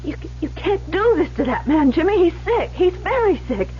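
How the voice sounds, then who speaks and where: A very high, wildly swooping voice of a frightened woman, pleading and stumbling over repeated words. It is radio drama, on a dull old recording with a faint hum. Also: very animated, dark tone. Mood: anxious pleading. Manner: halting, repeats words in distress. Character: anxious, pleading woman fearful for a sick man. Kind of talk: 1970s radio drama acting.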